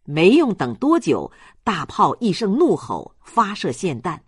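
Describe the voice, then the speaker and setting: Female audiobook narrator, lower register, close dry sound, scripted storytelling. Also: huge pitch swings, measured pace, breathy edge, dry recording.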